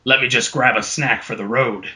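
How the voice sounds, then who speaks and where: A man's voice: mid-range voice, steady tone, normal speed, sharp tone. A man voicing a brawny, brusque warrior hero in a fantasy story.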